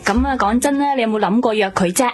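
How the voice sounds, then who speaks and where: A woman's voice: fairly high pitch, fast, slightly rough. An actress playing a teasing, nudging young female friend in a Cantonese radio drama, with a Hong Kong accent.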